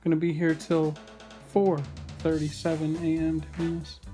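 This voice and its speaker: A man's voice: on the high side, monotone, moderate pace, mellow. A young man hosting a vlog, chatting casually and joking easily while at home. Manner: joking, easygoing banter. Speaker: vlog host. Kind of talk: casual chat. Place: home living room, indoors. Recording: background music under the voice.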